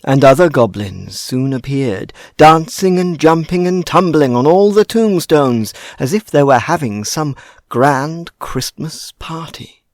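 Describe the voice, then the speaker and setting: A man narrating a children's story in a theatrical, deliberate, dramatic style. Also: on the high side, lively intonation, dry recording, studio quality.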